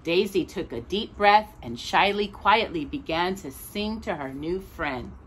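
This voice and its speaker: Woman, children's storytime host, slow-paced, very animated, sing-song. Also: lowish voice, a little hiss or hum, low-quality recording. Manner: expressive, sing-song, drawn-out delivery. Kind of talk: children's storybook read-aloud and chat to young viewers.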